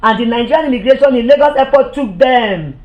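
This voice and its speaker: A woman's voice: a little high, lively intonation, a little fast, highs cut off. A woman giving an impassioned political monologue, heated and accusatory, repeating herself and stumbling over restarts when agitated.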